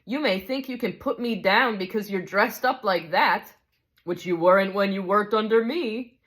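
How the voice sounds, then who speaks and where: A woman's voice: a little high, animated, moderate pace, fairly bright tone, voice pushed up. A woman voicing a big, self-righteous male ghost who complains in coarse working-class speech. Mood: aggrieved.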